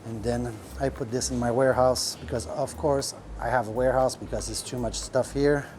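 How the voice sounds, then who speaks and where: Man talking shop, halting. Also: mid-range voice, steady tone, darkish tone, a little hiss or hum. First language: French. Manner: rambling, many fillers, searches for words. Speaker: restaurant owner. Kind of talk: casual podcast talk. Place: restaurant kitchen.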